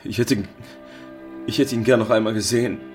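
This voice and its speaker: An actor playing a meek, tender, sorrowful husband who speaks gently and haltingly in a radio drama. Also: male voice, on the low side, steady tone, music in the background, lightly acted. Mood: tender, grieving. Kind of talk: German radio drama acting.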